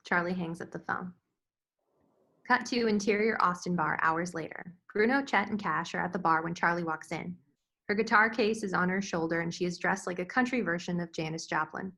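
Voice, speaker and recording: A woman narrates screenplay action and scene headings from a script. Her voice is bright and forceful, fairly low, with noticeable vocal fry. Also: normal speed. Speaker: stage-directions narrator.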